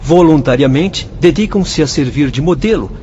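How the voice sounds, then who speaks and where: A man's voice: on the high side, animated, moderate pace, mellow, close to an everyday voice. A man voicing an earnest male spirit official with a Brazilian Portuguese accent, explaining things. The recording sounds dull and muffled. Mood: informative.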